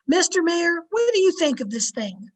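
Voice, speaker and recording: An actress playing a folksy, sociable, wry wife in an old-time radio comedy, polite and gently teasing. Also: female voice, very high-pitched, sing-song, moderate pace.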